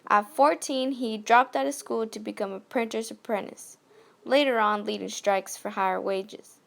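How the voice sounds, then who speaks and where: A woman playing a poised 1920s radio news anchor, reading from a script with a creaky voice. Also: a little high, fairly even intonation, moderate pace, fairly bright tone. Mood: presentational. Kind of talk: scripted amateur radio news role-play.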